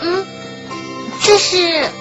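A woman as a very high, girlish schoolgirl. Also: very slow, heavily acted. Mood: delighted. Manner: cute, girlish, emotive. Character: sweet girlish schoolgirl, guileless best friend. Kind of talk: Chinese radio drama acting. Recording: dull lo-fi audio under clear music.

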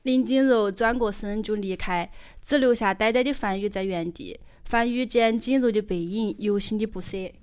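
A woman's voice: mid-range voice, normal speed, a little mellow, smooth. A woman with a Chongqing accent giving scripted narration for an audio drama.

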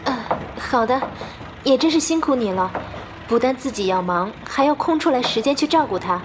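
A woman's voice: high voice, expressive, normal speed, background noise. A young woman playing an obliging, considerate close friend in an audio drama. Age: young adult. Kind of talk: Chinese audio drama acting.

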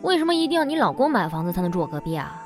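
A woman's voice: on the low side, sing-song, rapid-fire, over music. A woman acting a cheerful, easygoing schoolgirl who playfully teases her best friend in a modern audio drama. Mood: bright, hopeful.

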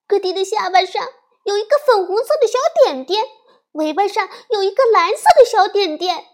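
A woman's voice: extremely high pitch, moderate pace, pitched above the performer's own voice. A woman voicing a little girl bear cub who is grieving her goldfish, tearful and pleading. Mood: upset, tearful.

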